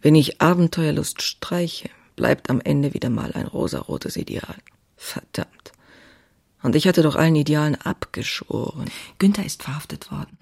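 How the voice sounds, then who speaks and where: Husky, passionate young diarist. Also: female voice, deep voice, a little mellow, full character voice. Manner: intimate, confessional, often dropping into breathy near-whispers. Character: passionate, restless young woman writer confiding her diary. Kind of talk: dramatic diary reading.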